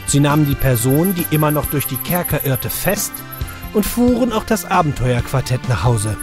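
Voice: medium pitch, animated, normal speed. A narrator reading a scripted children's detective story for an audio play. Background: music.

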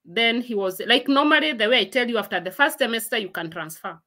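A woman's voice: fairly high pitch, animated, quick pace, sharp tone. A woman in her forties hosting a casual livestream from a room at home, chatty and laughing often as she reads and answers viewers' comments on a laptop mic. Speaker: livestream host.

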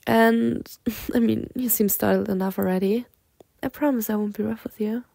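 A woman's voice: medium pitch, fairly even intonation, normal speed, vocal fry, dry recording. A woman playing a flirty, teasing, confident half-snake lamia in an ASMR roleplay, coaxing the listener. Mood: reassuring, flirty. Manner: flirtatious, coaxing, breaking into soft giggles and whispered asides.

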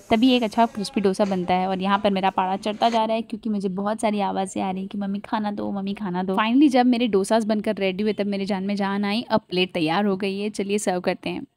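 A woman's voice: mid-range voice, darkish tone. A woman in her thirties chatting through a home cooking vlog, slipping English phrases into her talk. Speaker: cooking vlogger. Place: home kitchen, indoors.

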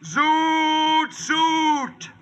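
An actor with an American accent playing a brash, slangy time traveller in an old-time radio drama, full of quick-witted indignation. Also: male voice, very high voice, fairly even intonation, fairly bright tone, dull audio, exaggerated voice. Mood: booming, defiant. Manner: slangy, exclamatory wisecracking.

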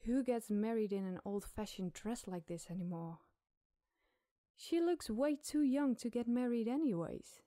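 Woman slowly narrating a scary story. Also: a little high, expressive, warm tone, no echo. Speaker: podcast storyteller.

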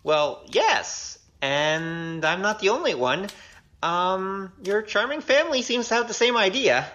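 A woman plays a young male rogue in an audio drama. The voice is bright and very animated, a glib gambler talking his way out with wry, hesitant patter. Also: medium pitch, a little slow, lo-fi sound. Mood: awkward, apologetic.

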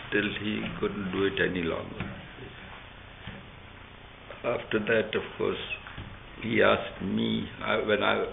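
An older man slowly recalling past work in an interview, pausing often with fillers. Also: fairly low pitch, gravelly. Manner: halting, with many pauses and fillers. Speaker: interviewee recalling clinic history. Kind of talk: slow recollection in an interview. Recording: tinny lo-fi sound with faint hiss.

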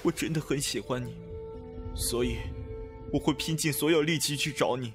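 An actor playing a brash, impulsive but devoted young man in an expressive Chinese costume audio drama. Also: mid-range voice, normal speed, over music, lightly acted. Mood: earnest, tender. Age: young adult.

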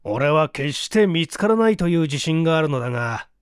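A man's voice: fairly high pitch, lively intonation, a little fast, clear, resonant tone, no echo, studio quality, close to an everyday voice. A man voicing a smug, eager young man in a storybook reading, confident and teasing.

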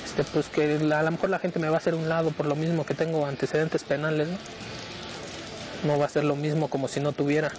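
A man's voice: a little high, completely flat delivery, a little fast, noisy background. A man, an inmate, answering hesitantly with many fillers. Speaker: prison inmate interviewee. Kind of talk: interview answers.

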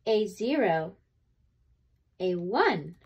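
A woman reading a children's picture book aloud expressively, with deliberate dramatic pauses between phrases. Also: on the low side, big pitch swings, darkish tone, breathy edge, highs cut off. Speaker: read-aloud storyteller.

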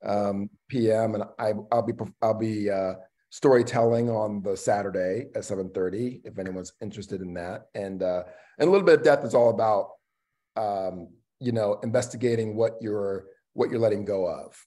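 Halting, reflective middle-aged man. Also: fairly low pitch, dark tone. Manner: halting, reflective, trailing phrases. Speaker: actor and theatre artistic director. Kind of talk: casual panel conversation. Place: indoor room at home, video call. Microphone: video call microphone.

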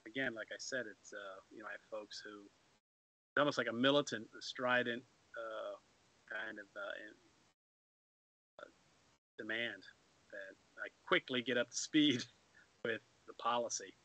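A man's voice: on the low side, fairly even intonation, unhurried, pauses often, sharp tone, low-quality recording. A middle-aged man hosting a radio talk show over a video call, speaking through a headset in a rambling way with many restarts. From Florida. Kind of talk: casual video-call discussion. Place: home room.

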